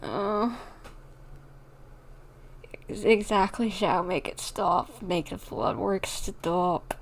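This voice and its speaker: A woman streaming a game, reacting casually with drawn-out, sympathetic asides. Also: mid-range voice. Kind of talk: casual live gameplay reaction.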